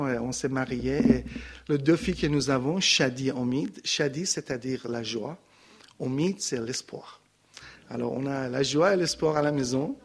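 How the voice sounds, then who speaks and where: A middle-aged man from Iran with a Persian accent, giving a halting personal testimony to a congregation into a handheld mic, often restarting and searching for words. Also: mid-range voice. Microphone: handheld microphone.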